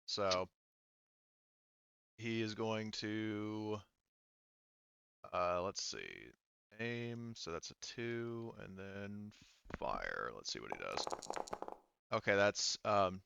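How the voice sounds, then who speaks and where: A man's voice: on the low side, very animated, extremely slow, creaky, long pauses, pushed and bright, lo-fi sound, slight background noise. A man casually refereeing a tabletop game as game master, thinking aloud in an informal way.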